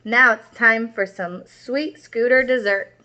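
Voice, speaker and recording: A woman's voice: a little high, takes it slowly, bright, forceful tone. A woman growling as a mean monster truck. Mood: gloating. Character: mean hungry male monster truck bully. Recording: muffled lo-fi recording.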